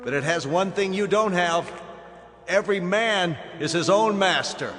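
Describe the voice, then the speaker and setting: An actor playing a firm, urgent military colonel in a science-fiction radio drama. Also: male voice, high-pitched, a little slow, fairly bright tone. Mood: impassioned. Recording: long hall-like reverb, music underneath.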